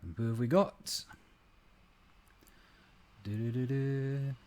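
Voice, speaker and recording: A man hosting a gaming channel, talking through a card game in a relaxed, rambling way, his voice a little croaky. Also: mid-range voice, takes it slowly. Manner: relaxed, rambling, many fillers. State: slightly croaky voice. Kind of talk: casual gameplay commentary.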